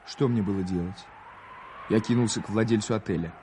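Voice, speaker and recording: An actor playing an eager, ironic American newspaper correspondent in a radio play, theatrically formal and wry. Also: male voice, lowish voice, a little fast, noisy background, natural voice. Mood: wry, exasperated. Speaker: actor narrating and playing a reporter. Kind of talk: radio play storytelling and dialogue.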